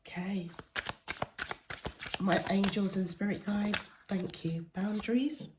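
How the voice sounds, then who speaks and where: A woman's voice: medium pitch, slow pace, heavy background noise. A woman with a cold and a stuffy nose, giving a weekly tarot card reading in an earnest, meandering way with frequent hesitations. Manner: earnest, addresses the listener directly. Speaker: tarot reader.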